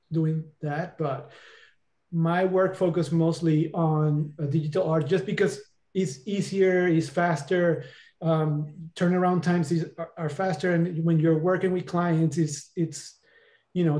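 Guest paleoartist, man from Venezuela; mellow, slightly high voice, halting, echoey bedroom video call. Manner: halting, many fillers and restarts. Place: bedroom at home. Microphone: video call with earbuds.

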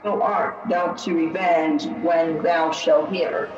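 A woman voicing the solemn, aggrieved ghost of a murdered king, speaking in slow, archaic, portentous phrases in a tabletop game. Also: low voice, fairly even intonation, darkish tone, highs cut off. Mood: solemn, grave. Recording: echoey, distant, music underneath. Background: music.

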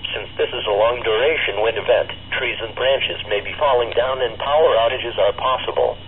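A synthesized man's voice reading a formal, measured weather bulletin as an announcer, heard through a weather radio speaker. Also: mid-range voice. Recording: tinny narrowband radio speaker, faint noise.

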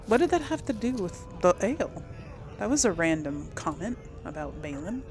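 A woman's voice: on the low side, lively intonation, normal speed, music in the background. Chatty woman musing over a game. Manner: musing, chatty, thinking aloud. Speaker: game streamer. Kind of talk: casual let's play commentary.

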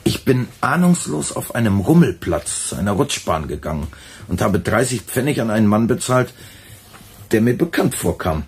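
Dry, weary intellectual, radio drama, fairly low and markedly breathy-rough. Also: male voice, big pitch swings, normal speed, darkish tone, exaggerated voice. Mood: uneasy recounting. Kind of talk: German radio play acting.

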